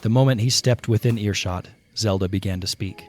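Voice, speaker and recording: A man narrating a scripted fantasy audio play with dramatic, deliberate storytelling. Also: on the low side, darkish tone, a little hiss or hum. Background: prominent music.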